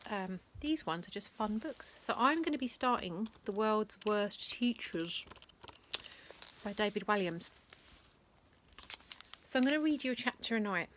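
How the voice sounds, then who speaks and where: Lady reading a kids' bedtime story, bright voice, takes her time, hams it up. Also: medium pitch, a little hiss or hum. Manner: lively, dramatic storytelling with big emphasis and asides. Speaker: children's storyteller.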